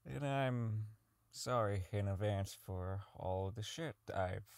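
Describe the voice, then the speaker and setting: An actor playing a socially inept, bitter robotics engineer in an audio drama log, rambling, halting, swearing and putting himself down. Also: male voice, lowish voice, creaky, a little mellow, clear, resonant tone, dry recording. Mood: indignant, agitated. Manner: rambling, halting, profane, self-deprecating. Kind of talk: audio drama monologue as a recorded personal log.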